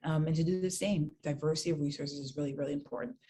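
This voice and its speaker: A young woman being interviewed over a video call, speaking earnestly and reflectively with frequent ums and soft trailing phrases. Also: low-pitched, steady tone, fast. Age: young adult. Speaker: guest, author and basketball nonprofit founder. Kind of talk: virtual interview conversation.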